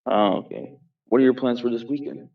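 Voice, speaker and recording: A man's voice: mid-range voice, steady tone, a little fast, far from the mic, muffled recording. A man chatting casually with a friend, curious and full of repeated questions. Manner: curious, asks lots of questions, repeats himself. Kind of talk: casual chat.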